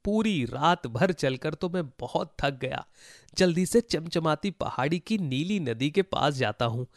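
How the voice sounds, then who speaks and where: Man playing a high, animated kind boy. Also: a little slow, no room sound, clean studio sound. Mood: tired, resolute. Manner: earnest, animated. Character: kind, brave poor boy, eager to help. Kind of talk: Hindi children's moral story voice acting.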